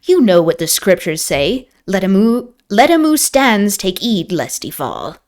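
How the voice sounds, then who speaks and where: A woman's voice: medium pitch, very animated, slow pace, darkish tone. A woman voicing an irritable rustic English man at a pub in a novel, folksy and moralizing. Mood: sententious.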